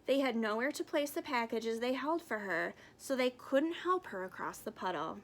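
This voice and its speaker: A woman reading a storybook aloud in an expressive narrating style with careful phrasing. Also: on the high side, moderate pace. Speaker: storybook reader.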